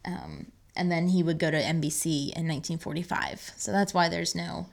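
A woman's voice: lowish voice, fairly even intonation, normal speed, vocal fry. A woman co-hosting a podcast, chatting casually with an enthusiastic, talkative manner. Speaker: podcast co-host. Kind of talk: casual conversational podcast chat.

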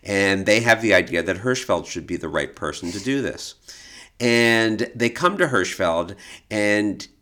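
A man co-hosting a podcast, explaining art and television history conversationally, haltingly and with repeated words for emphasis. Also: fairly low pitch, lively intonation, pushed and bright. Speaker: podcast co-host and expert.

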